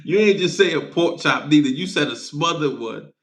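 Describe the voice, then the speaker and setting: Gravelly man in his forties, echoey. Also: on the high side, lively intonation, moderate pace. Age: 40s. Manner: loose, playful, repeats himself, thanks viewers for gifts. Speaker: livestream host. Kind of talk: casual livestream chat.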